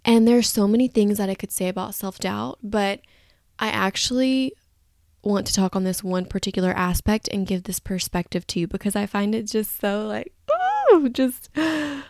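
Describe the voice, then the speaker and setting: Creaky-voiced woman chatting on a podcast. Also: medium pitch, fairly even intonation, normal speed, darkish tone, no room sound, clean studio sound. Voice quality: slightly groggy morning voice. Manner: chatty, rambling, candid, with casual swearing. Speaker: podcast host. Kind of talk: casual solo podcast chat.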